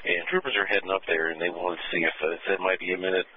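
A man relaying a call over the radio, hesitant with many pauses. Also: low-pitched, sing-song. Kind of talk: radio dispatch. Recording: tinny radio with faint noise.

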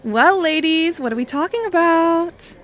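Woman playing young woman, high-pitched, breezy, offhand, teasing, audio drama. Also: expressive, unhurried. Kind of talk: amateur audio drama acting.

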